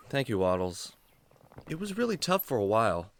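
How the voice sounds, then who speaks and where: A man playing a kindly, patient adventurer who explains things gently and earnestly to his animal buddy in a children's radio drama. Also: fairly low pitch, sing-song, a little slow, no room sound. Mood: wistful.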